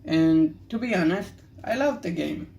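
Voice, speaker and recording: Slow, creaky-voiced young Israeli man rambling. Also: high-pitched, expressive, a little mellow, dull audio. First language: Hebrew. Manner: rambling, candid, slow and halting. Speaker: card-opening video host. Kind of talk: casual rambling commentary while opening cards.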